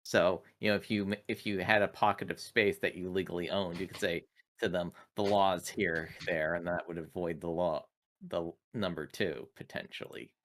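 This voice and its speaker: A man chatting casually as a player in a tabletop roleplaying game, explaining things in a halting way. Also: sits low, steady tone, fairly bright tone.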